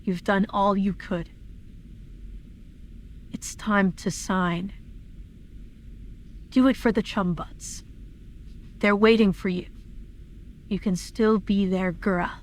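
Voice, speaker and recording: A woman's voice: medium pitch, steady tone, slow, long pauses, a little hiss or hum, lightly acted. A woman playing a concerned young female friend in an audio drama, speaking earnestly and persuasively. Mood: pleading. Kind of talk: acted dialogue, fan audio drama.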